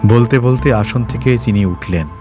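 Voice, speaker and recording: A man narrating a scripted story with expressive, dramatic phrasing. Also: medium pitch, normal speed. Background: faint background music.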